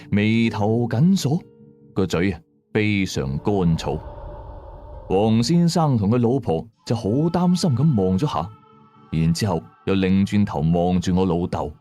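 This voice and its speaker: A man narrating a scripted first-person horror story, measured, with conversational asides. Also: low-pitched, animated, mellow. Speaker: audio drama narrator. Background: background music.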